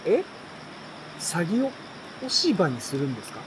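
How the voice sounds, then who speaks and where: A man's voice: on the high side, wildly swooping pitch, moderate pace, low-quality recording. A man voicing a polite young boy in a storybook, asking questions in an earnest, curious way. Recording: outdoor night crickets and campfire noise.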